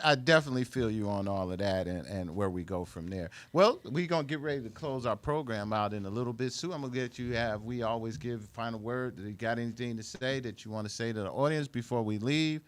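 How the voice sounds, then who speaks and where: A man's voice: medium pitch, expressive, brisk, hardly any pauses, fairly bright tone. Folksy American man hosting radio. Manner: folksy, informal. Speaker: radio show host. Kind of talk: casual chat.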